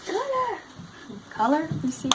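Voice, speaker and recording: High-pitched woman teaching in a noisy classroom. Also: sing-song, unhurried. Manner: questioning and prompting pupils. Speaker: elementary school teacher. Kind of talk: interactive read-aloud class lesson. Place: school classroom. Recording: noisy classroom, clear background noise.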